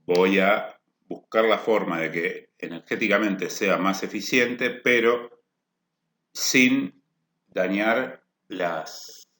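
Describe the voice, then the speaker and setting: A man's voice: fairly low pitch, slow, a bit bright. Lecturing man in an echoey room. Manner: explanatory, rephrases points with "o sea" and "¿no?". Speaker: energy efficiency lecturer. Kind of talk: technical workshop lecture.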